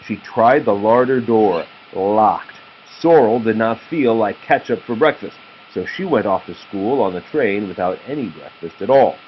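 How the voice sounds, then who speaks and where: A man's voice: on the low side, expressive, moderate pace, few pauses. A man reading a children's story aloud as a father to his child, with a patient manner. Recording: slightly muffled, faint background noise.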